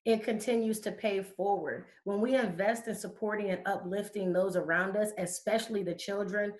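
A woman's voice: medium pitch, steady tone, normal speed, a little mellow, rough recording. A woman from Connecticut, a youth educator, answering interview questions in fluent, conversational storytelling.

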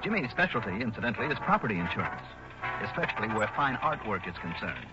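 Brisk, wry American radio detective. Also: male voice, on the low side, sing-song, over loud music. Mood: brisk narrating. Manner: sardonic, dry wit. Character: wry, confident freelance male insurance investigator. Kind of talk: 1960s American radio drama acting. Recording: dull, noisy old radio recording.

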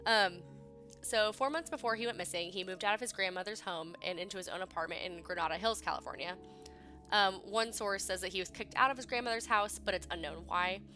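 A young woman with a bright, clear voice, a little sniffly from a cold, telling a story in a loose, chatty way full of ums. Faint music plays softly behind her. She sounds like a relaxed podcast co-host talking from home. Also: medium pitch, a little fast. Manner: chatty, laughs often, many ums. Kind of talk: casual true crime podcast chat. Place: at home, remote call.

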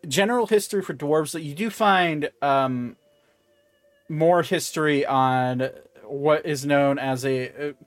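A man acts as game master, improvising narration for a tabletop game. His voice sits in a middle register and he talks conversationally, stopping to rephrase. The sound is dry, with soft music underneath. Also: medium pitch, no room sound. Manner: halting, conversational, self-correcting.

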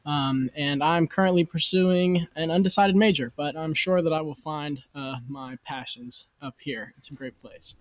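A man's voice: on the high side, moderate pace, hardly any pauses, dry recording. A young man, a college student speaking tentatively and trailing off. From West Virginia. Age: young adult.

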